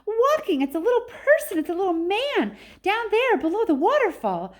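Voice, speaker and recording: Woman as a shrill, frantic little girl. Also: very animated, moderate pace. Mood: frantic, excited. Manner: shrill, urgent exclamations. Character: spoiled young girl, frantic and screaming.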